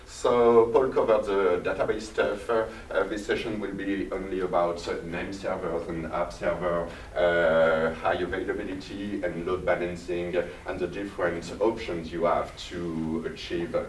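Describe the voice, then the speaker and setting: A man with a strong French accent giving a technical conference talk, pausing often on "uh". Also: low voice, slight background noise. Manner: hesitant, many "uh" fillers. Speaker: conference presenter. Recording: echoey hall, mic from a distance.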